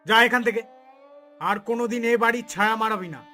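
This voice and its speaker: A cruel village uncle acted by a man in a cartoon, harsh and contemptuous. His scolding voice is very high and piercingly bright, with faint music underneath. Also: fairly even intonation, fast talker.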